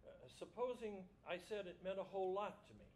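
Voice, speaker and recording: A man acting as awkward middle-aged lawyer, higher-pitched, hesitant, coaxing husband, stage reading, faint background noise. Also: darkish tone, close to an everyday voice. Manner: hesitant, halting, stilted. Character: plump middle-aged male lawyer, awkward, wheedling, married. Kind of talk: staged reading of a comic short story.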